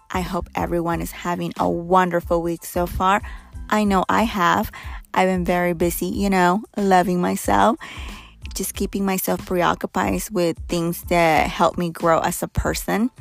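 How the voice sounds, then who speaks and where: A young woman hosting her own podcast in a blunt, candid, chatty way, hoarse as she loses her voice. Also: on the low side. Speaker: podcast host. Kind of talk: casual solo podcast talk.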